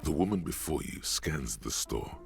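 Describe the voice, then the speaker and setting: A man giving measured, scripted narration for a video game. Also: very deep voice, big pitch swings, vocal fry, faint music behind, exaggerated voice.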